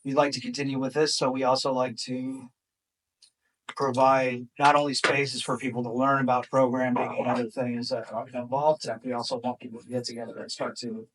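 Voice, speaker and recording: A man's voice: mid-range voice, a bit bright. Sponsor guy winging his intro, casual, sounds far from the mic. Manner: loose, casual, unscripted. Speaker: sponsor representative. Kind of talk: improvised introduction.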